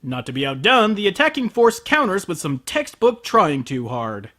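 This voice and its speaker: Male review host, high-pitched and sardonic, scripted comedic narration with swooping emphasis. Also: sing-song, normal speed, a bit bright. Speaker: anime review show host.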